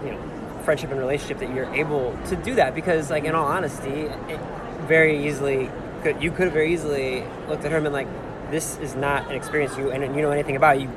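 A man's voice: on the high side, steady tone, fast. A man hosting a casual podcast interview, rambling effusively and restarting his sentences as he goes. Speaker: podcast host interviewing authors. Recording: noisy on-location recording, ambient background sound.

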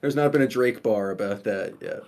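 A man's voice: lowish voice, brisk, a little mellow. A man co-hosting a podcast with casual banter in a dry, wry manner. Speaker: podcast co-host.

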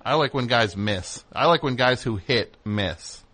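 Excitable rambling male radio host. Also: a little slow. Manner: excitable, rambling, jumps between high and low. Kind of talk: casual comedic phone chat. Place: radio studio.

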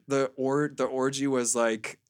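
A man speaking as a podcast guest, telling candid stories in a casual, halting way with lots of "like". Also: mid-range voice, level tone, smooth.

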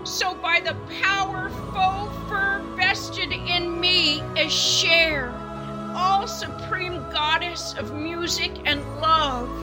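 A woman's voice: very high-pitched, slow pace. A woman playing a brazen, self-absorbed diva pop goddess in a campy comic radio play, theatrical and blasé. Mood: grandiose. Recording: music under the voice.

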